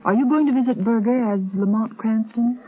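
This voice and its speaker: A loyal, probing young woman from a vintage radio play, earnest and direct, with a breathy voice. The sound is thin and tinny, like an old broadcast. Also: fairly high pitch, brisk. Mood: questioning. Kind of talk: 1940s radio acting.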